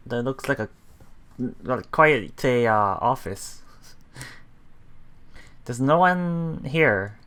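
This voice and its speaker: A man doing casual let's play commentary, haltingly reading and paraphrasing story text aloud while thinking out loud. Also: mid-range voice. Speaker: let's player.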